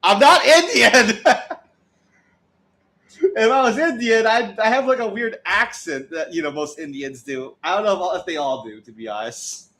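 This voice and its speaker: A man's voice: sits high, expressive, moderate pace, bright, forceful tone. A young man chatting casually on a livestream, rambling with lots of restarts and frequent laughs. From United States. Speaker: streamer.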